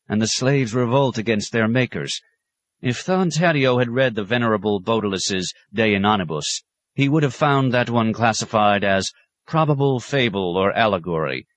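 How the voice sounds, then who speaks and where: A man's voice: lowish voice, lively intonation, normal speed, dry recording, voice pushed up, close to an everyday voice. A man voicing an older male abbot who speaks sternly with biting sarcasm, in an audiobook.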